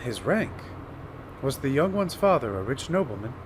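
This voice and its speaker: A man playing a lively, considerate gentleman doctor. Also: mid-range voice, normal speed, natural voice. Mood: curious, polite. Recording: loud background ambience noise.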